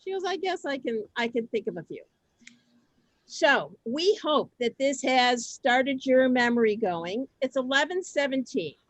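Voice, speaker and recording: A middle-aged woman hosting a group on a video call, chatting informally and telling stories in a conversational, animated way. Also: high-pitched, measured pace, no room sound. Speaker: host of a synagogue group session. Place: video call, close dry sound.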